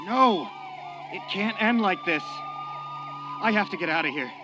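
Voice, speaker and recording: A man's voice: high-pitched, lively intonation, measured pace, noisy background. A man playing a desperate, protective fugitive in a radio drama, speaking with earnest, pleading intensity. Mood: panicked. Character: desperate young man on the run, protective and determined.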